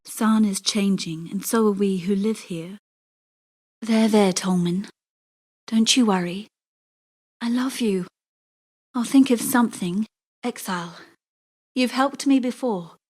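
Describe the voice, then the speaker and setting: Weary bitter exile woman for a fantasy game, soft mellow tone, wry and measured, real dry close sound. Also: mid-range voice, breathy edge, no echo. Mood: somber, then tender, grieving, then pleading. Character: world-weary woman exile, bitter, proud, quietly grieving. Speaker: non-player character in a fantasy action game. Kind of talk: scripted dramatic game dialogue.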